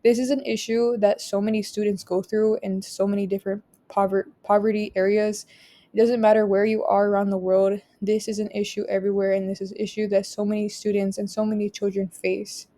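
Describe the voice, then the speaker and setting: A young woman from Texas whose first language is Spanish presents a photo project, reading each caption aloud and then explaining it in a measured way. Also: mid-range voice, flat delivery, dark tone. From Rio Grande Valley, Texas. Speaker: student presenter.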